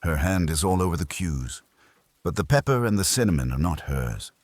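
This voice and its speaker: A man acts out a pedantic, commanding master detective from a mystery audiobook. He speaks in ornate, formal sentences, very low and husky, with emphatic swings of pitch. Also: big pitch swings, a little fast, dry recording. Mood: musing.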